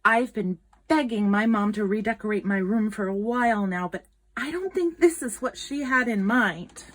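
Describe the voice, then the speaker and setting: A woman reading a children's picture book aloud in an expressive, dramatic storytelling manner. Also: medium pitch, moderate pace. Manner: expressive, dramatic, with gasps and whispered asides.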